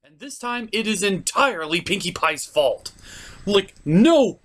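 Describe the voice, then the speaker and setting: A man's voice: high voice, big pitch swings, unhurried, a bit bright. A man giving a scripted comedic review as host, with an exasperated, sweary, ranting delivery. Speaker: puppet-show reviewer and host.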